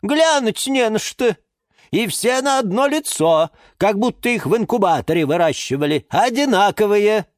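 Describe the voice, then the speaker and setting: Storybook gossip plant, a woman performing: fussy know-it-all, dismissive, very high swooping pitch, dry sound. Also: animated, normal speed, no echo, close to an everyday voice. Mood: disparaging. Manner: emphatic, dismissive. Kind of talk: children's story character voice.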